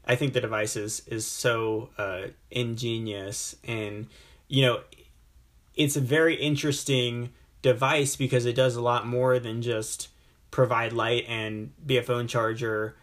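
A man hosts a casual interview podcast, voice plain and mid-pitched. He talks with enthusiasm and rambles a little, leaning on "you know" as he builds to his questions. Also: little pitch movement, measured pace, a bit bright. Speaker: podcast host.

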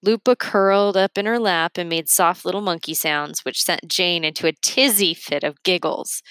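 A woman reads a children's story aloud in a slightly low voice. The sound is close and dry, and she narrates in a storytelling style. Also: normal speed, fairly bright tone, smooth, no room sound. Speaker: reader of a children's book.

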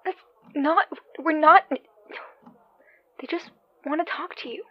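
A woman's voice: high-pitched, slow. A woman acting as an earnest, exasperated younger sister on a phone call in an audio drama, pleading in manner. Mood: pleading, defensive. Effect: phone call filter. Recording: dull, band-limited phone call sound.

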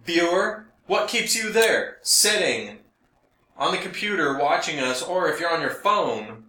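A man's voice: fairly high pitch, sing-song, unhurried, pushed and bright. An American man chatting casually as a podcast co-host, telling stories in a jokey way and acting out bits of conversation. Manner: jokey, acts out lines from conversations. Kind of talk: casual chat, anecdotes and joking. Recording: roomy, echoey sound.

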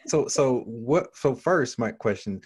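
A man's voice: medium pitch, animated, slow pace, a little mellow, breathy edge. A man hosting a podcast interview, asking long, winding questions full of restarts and repeated words. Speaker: podcast host. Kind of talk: conversational interview.

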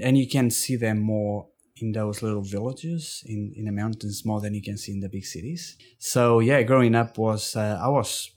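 A man from Portugal with a Portuguese accent, recounting his life in a casual interview, halting with frequent restarts and fillers. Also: lowish voice, darkish tone. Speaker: interview guest. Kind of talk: casual storytelling.

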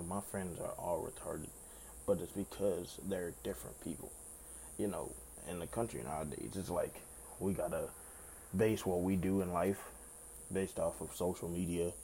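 Raspy young male podcast host, very low and gravelly, laid-back rambling delivery. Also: normal speed, mellow, slight background noise, rough recording. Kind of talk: casual solo podcast chat.

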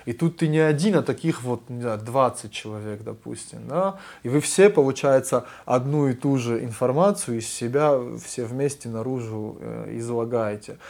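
Man, young adult, earnest animated explainer, mid-pitched voice, casual talk. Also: moderate pace, gravelly, few pauses. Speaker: guest musician. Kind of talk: casual conversation.

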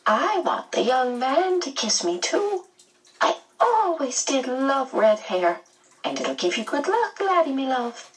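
A storybook medium, jolly and shamelessly sentimental. The voice is very high and swoops about as it gushes and wails with flirtatious, otherworldly cheer. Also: female voice, sing-song, a little slow, recorded from a distance, rough recording. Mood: playful, flirtatious. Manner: gushing, wailing, exclamatory.

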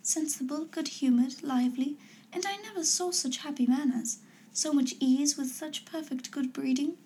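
A woman voicing a gentle, modest young woman who speaks softly and sincerely. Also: high-pitched, moderate pace, voice pushed up, lightly acted. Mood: earnest. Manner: soft and sincere.

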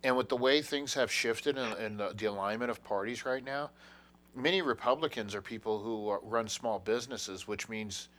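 Man, casual chat, animated, mocking tone, keeps saying dude. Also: mid-range voice, moderate pace.

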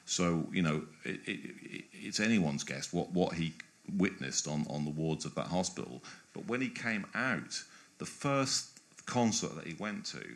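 A man's voice: deep voice, lively intonation, measured pace. A man speaking as a guest biographer in an interview, giving long discursive answers that often stop and restart mid-phrase.

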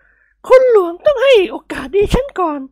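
A plump middle-aged society lady from an audiobook. She speaks very high and swoops about, polite but gushing, coy and insistent. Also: sing-song, normal speed, darkish tone, no room sound, clean studio sound. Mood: insistent, gracious. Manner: polite, effusive, coy feminine speech.

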